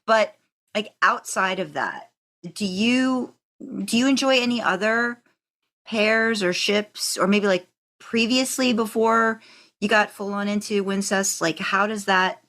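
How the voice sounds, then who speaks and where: A woman's voice: medium pitch, a bit bright. A woman hosting a podcast interview in a casual, informal, rambling way. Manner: informal, rambling, quick to laugh. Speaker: podcast host interviewing a fanfiction author. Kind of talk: casual chat.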